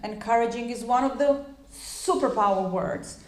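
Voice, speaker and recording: A woman's voice: on the high side, normal speed, a little mellow, roomy sound. A woman whose first language is Croatian, giving a loose, talkative English lesson full of fillers and restarts. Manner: chatty, many fillers and restarts. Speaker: English teacher. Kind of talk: informal spoken lesson.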